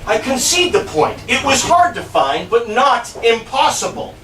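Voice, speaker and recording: A man playing a contrary, fretful fellow, very animated. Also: very high-pitched, measured pace, heavily acted. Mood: dry, insistent. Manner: contrary, insistent, literal-minded. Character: stubborn, contrary man fretting over a missing friend. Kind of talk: live stage play, quick comic banter. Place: small bar performance room. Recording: echoey live venue, distant mic, faint noise.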